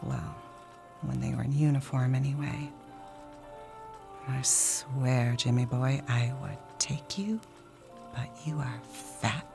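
A woman's voice: very deep voice, slow, creaky, pauses often, full character voice. A woman playing a restless young wife who whispers wry confessions into a recorder. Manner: hushed confiding whisper with wry laughs. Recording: music playing under the voice.

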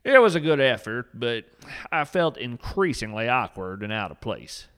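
A man's voice: mid-range voice, big pitch swings, moderate pace, a bit bright, smooth. A man narrating a scripted story with dry, mock-serious humor.

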